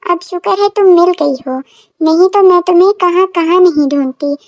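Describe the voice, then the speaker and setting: Woman playing high-voiced anxious loving mother. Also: moderate pace, darkish tone, dry recording. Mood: soothing, scolding. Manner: fretful, tender, repetitive calling. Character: anxious, loving, gently scolding village mother. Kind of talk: children's cartoon story acting.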